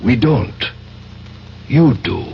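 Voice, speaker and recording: An actor playing a cool, calculating spymaster in a radio drama, speaking in a measured, formal, authoritative way. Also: male voice, mid-range voice, animated, vocal fry, heavily acted. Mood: cool, commanding. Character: commanding, calculating male spymaster, cool and controlled. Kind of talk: 1970s radio drama acting. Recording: dull vintage radio broadcast, faint noise.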